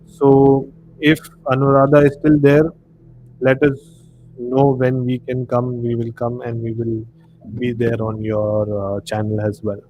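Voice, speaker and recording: A man's voice: medium pitch, monotone, a little slow, lo-fi sound, soft background music. A man with an Indian accent speaking casually as the host of a live podcast discussion. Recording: clearly noisy live-stream audio.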